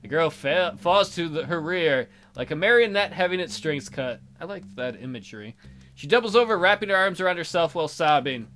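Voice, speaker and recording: A man's voice: fairly high pitch, expressive, brisk, fairly bright tone, slight background noise. A man hosting a let's play, reading a visual novel aloud as dramatic narration with joking asides.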